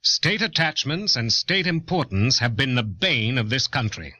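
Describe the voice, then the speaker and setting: A man's voice: mid-range voice, expressive, normal speed, sharp tone, no echo. A male actor voicing an ardent, vehement eighteenth-century statesman orating in debate, for an audio documentary. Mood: scornful, forceful. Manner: oratorical, vehement. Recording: dull, dry cassette audio.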